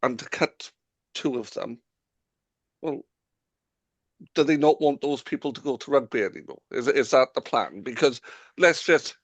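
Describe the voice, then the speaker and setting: A man with a mid-range voice argues in casual podcast talk. He speaks with animated rhetorical questions, dismissive of ideas he finds absurd. The sound is dry and close-miked. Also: mid-range voice, a little slow, long pauses, no echo. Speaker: podcast co-host. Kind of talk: casual rugby chat.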